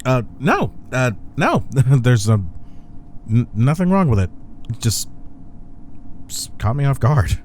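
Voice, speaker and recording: A man's voice: mid-range voice, very animated, slow, pauses often, a little mellow, a little hiss or hum. A man playing a cocky, teasing office rival who is secretly smitten, in an intimate romantic audio roleplay. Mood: flustered, defensive. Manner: smug, teasing, breathy chuckles.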